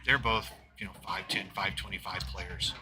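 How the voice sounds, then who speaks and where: A man's voice: deep voice, steady tone, moderate pace, loud music behind the voice. A man commentating a live pool match from a booth in a pool hall, talking in a loose, rambling way with many fillers. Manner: rambling, filler-heavy, talks of his loud voice.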